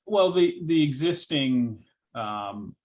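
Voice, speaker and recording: A man presenting building plans at a review meeting, speaking informally in a hesitant, halting way as he explains and answers questions. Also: medium pitch, animated. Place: public board meeting.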